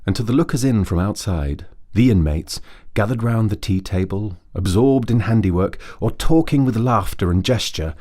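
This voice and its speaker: Male storyteller, low pitch, measured scripted narration, bedtime story read-aloud. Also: darkish tone.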